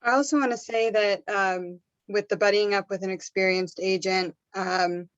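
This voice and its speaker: A woman's voice: medium pitch, fairly even intonation, normal speed, no room sound, dull audio. A woman sharing her own experience as a real estate agent in a group discussion.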